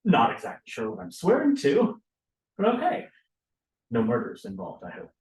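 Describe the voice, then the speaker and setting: A man's voice: medium pitch, huge pitch swings, normal speed. A man acting an affable middle-aged documentary maker in a script read-through, hesitant and self-deprecating. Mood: sheepish, joking. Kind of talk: table read of a play script. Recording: echoey room.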